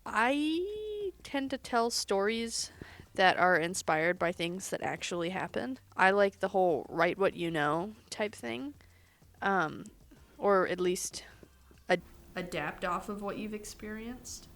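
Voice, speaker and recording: A woman's voice: mid-range voice, slow pace, a bit bright. A woman giving casual interview answers, hesitating with fillers as she talks.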